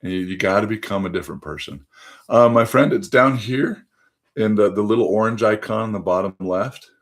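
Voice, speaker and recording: Hoarse-edged man pitching on a phone livestream. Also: a little fast. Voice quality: conversational, addresses viewers directly, frequent fillers. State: voice going hoarse, under the weather. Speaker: livestream host.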